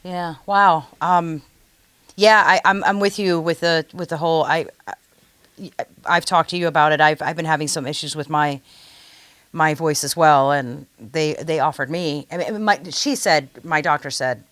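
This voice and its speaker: Low-voiced chatty woman host. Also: normal speed, no echo. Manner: chatty, animated storyteller. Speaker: podcast host and singer. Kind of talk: casual interview conversation.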